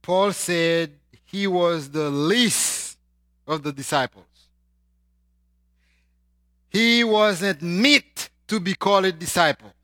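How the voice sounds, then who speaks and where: A man from Haiti with a Haitian accent, preaching a sermon and swinging between loud emphatic lines and quiet asides. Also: high voice, dry recording, studio quality.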